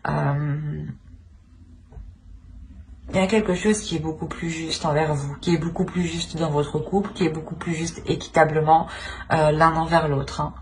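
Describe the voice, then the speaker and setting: A woman's voice: low voice, steady tone, moderate pace. A woman giving an unscripted tarot reading to viewers, feeling her way through the meaning by repeating and rephrasing. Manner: hesitant, searching, repeats and rephrases phrases. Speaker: tarot reader, channel host. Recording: clear background noise.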